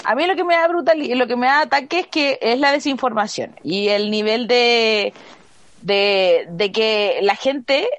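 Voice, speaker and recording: Young woman, Chilean accent, higher-pitched voice, casual podcast chat, slangy expressive ranting, dry close sound. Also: a little slow, no echo. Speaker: podcast co-host.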